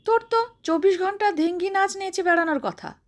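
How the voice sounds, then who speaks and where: মহিলার গলায় মুখরা, দাপুটে, উঁচু গলার গিন্নি. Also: female voice, very high-pitched, a little fast, pitched above the performer's own voice. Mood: bossy, mocking. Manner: brash, scolding, colloquial. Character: bossy, stout, sharp-tongued older woman, scolding affectionately.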